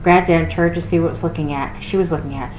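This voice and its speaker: A woman reading a storybook aloud as narrator for a library, with expressive delivery. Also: low-pitched, fast. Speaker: reader for a library read-aloud. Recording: roomy echo with clear noise.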